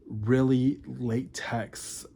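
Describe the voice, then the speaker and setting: A man's voice: mid-range voice, flat delivery, extremely slow, creaky, dark tone, a little hiss or hum. Candid, rambling man in his thirties. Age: 30s. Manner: candid, rambling, many fillers. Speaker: vlogger. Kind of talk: confessional talk to camera.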